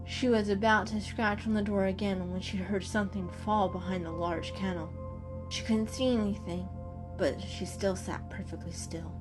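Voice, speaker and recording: A woman's voice: medium pitch, steady tone, moderate pace, over music, rough recording. A woman narrator giving a scripted, dramatic reading of a storybook.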